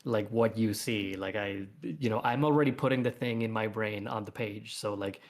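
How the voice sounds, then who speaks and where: A man's voice: lowish voice, moderate pace. A man chatting casually as a guest comic creator, rambling along in an earnest, self-effacing way. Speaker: guest comic author and artist. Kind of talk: casual livestream chat.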